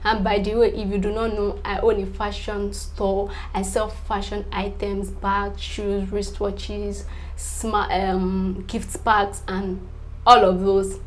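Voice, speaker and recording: Young Nigerian vlogger, a woman with Yoruba as her first language, in an easy middle register; loose, filler-heavy and quick to laugh. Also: medium pitch, little pitch movement, rough recording. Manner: chatty, filler-heavy, repeats "definitely", laughs between answers. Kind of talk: casual chat to camera.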